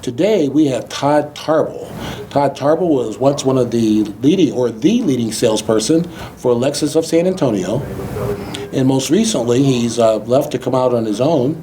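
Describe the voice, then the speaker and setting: A male talk show host with a mellow, medium-pitched voice interviews a guest in a conversational way. He affirms and rephrases answers, and there is noticeable background noise on the recording. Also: moderate pace. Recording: clearly noisy background ambience.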